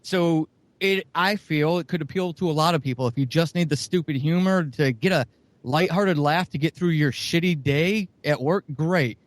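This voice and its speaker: A man's voice: a little high, normal speed, no echo. Rambling, swearing man on a dry mic. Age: middle-aged. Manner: rambling with restarts, profane. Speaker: podcast guest, audio drama writer. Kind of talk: casual podcast interview.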